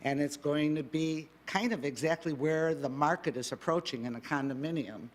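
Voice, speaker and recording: A man speaking at a public planning meeting as a developer, halting, with many fillers and restarts. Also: fairly high pitch.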